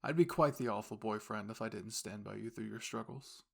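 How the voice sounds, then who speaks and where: A man's voice: lowish voice, vocal fry. A man voicing a composed, formal young university student and caring boyfriend, speaking intimately and reassuringly to the listener in an audio roleplay. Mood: quietly affectionate. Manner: measured, formal, gently reassuring phrasing.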